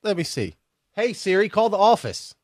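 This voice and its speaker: A man's voice: high-pitched, big pitch swings, normal speed, no echo. A man co-hosting a live talk radio show, joking wryly with the host and putting callers on the air. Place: radio studio.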